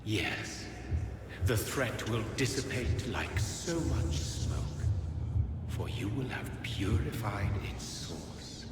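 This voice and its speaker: Slow, echoing ancient vampire elder. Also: male voice, fairly low pitch, animated. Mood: grave, fatherly. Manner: slow, grave, archaic formal speech. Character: ancient male vampire progenitor, solemn and cryptic. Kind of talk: dramatic video game acting. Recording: echoey reverberant hall, loud music behind.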